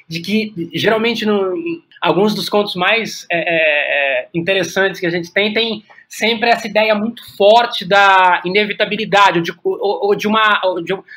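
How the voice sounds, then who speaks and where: A man with a Brazilian accent speaking reflectively as an interview guest, halting and repeating words as he thinks. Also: high voice, bright, forceful tone. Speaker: guest playwright.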